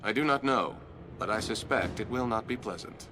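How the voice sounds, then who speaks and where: A man's voice: lowish voice, moderate pace, heavy background noise, close to an everyday voice. A man playing a logical, formal starship first officer with precise wording in a cinematic video game. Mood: measured, formal. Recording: loud music behind the voice.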